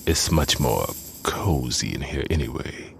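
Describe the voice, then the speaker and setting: A man voicing a laid-back, sardonic runaway inmate with a deadpan manner, in an adventure game. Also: extremely low pitch, a little slow, creaky, slight background noise, full character voice. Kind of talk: adventure game character acting. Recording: loud music under the voice.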